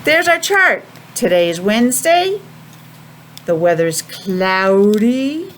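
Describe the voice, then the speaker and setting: An American woman teaching young children a simple lesson in a sing-song, questioning way with pauses. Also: mid-range voice, big pitch swings, slight background noise. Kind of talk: children's calendar and weather lesson.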